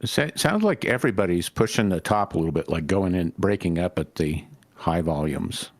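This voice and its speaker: A man speaks in a low voice with plenty of vocal fry, recorded very dry. He chats casually as a co-host in an informal group conversation. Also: animated, moderate pace, dry recording.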